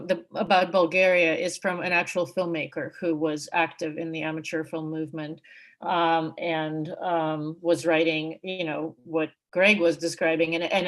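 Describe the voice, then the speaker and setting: A woman from Russia speaking unscripted in an academic discussion, reflective and halting, with many hedging asides. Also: on the low side. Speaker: book co-editor and panel speaker.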